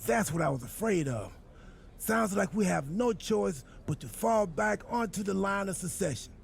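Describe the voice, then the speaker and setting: An actor playing a goofy, self-important wrestler turned boss in a video game cutscene, with a gravelly, husky voice and an earnest, absurdly literal, rambling manner. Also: male voice, high voice, expressive, moderate pace, slight background noise. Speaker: wrestler acting as general manager.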